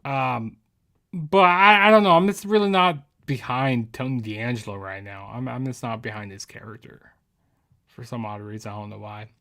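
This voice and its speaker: A man's voice: mid-range voice, normal speed, bright, forceful tone. A man giving a casual, rambling spoken review of a wrestling show, full of ums, you-knows and restarts.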